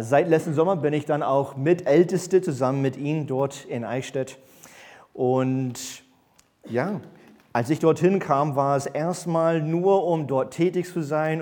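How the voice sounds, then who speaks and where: Man, 30s, preacher, from Berlin, sermon, hesitant, frequent fillers, self-corrections, medium pitch. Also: a little mellow. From grew up in East Berlin, born in the USA. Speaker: church elder and preacher. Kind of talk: sermon with personal introduction. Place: church service.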